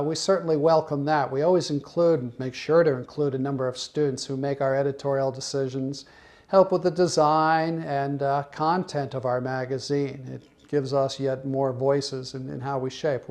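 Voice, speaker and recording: An American man giving an informal promotional talk as a college magazine adviser, with wry, joking asides. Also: mid-range voice.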